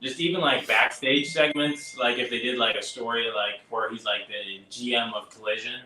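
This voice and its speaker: A man's voice: medium pitch, steady tone, normal speed, sharp tone, echoey room, recorded from a distance, a little hiss or hum, rough recording. A man speaking as a wrestling guest in casual chat, hesitant and searching for words.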